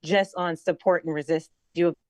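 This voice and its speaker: A woman's voice: lowish voice, fairly even intonation, moderate pace, dry recording. A woman on a call, politely backing up the coach's advice. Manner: polite, supportive. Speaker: community member. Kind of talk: casual call chat.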